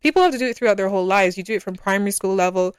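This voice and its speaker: A woman's voice: medium pitch, rapid-fire, smooth, no room sound, studio quality. A woman in her early twenties from Barbados, talking casually and reflectively as a solo podcast host, in a conversational, opinionated way.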